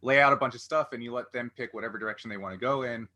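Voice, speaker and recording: A man's voice: mid-range voice, racing, bright, forceful tone. A man hosting a casual interview, thinking aloud through long, rambling questions. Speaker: podcast interviewer, game master.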